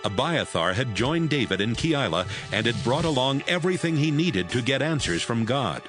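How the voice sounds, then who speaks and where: Male narrator for scripted scripture reading, animated medium voice, music bed. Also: mid-range voice, moderate pace.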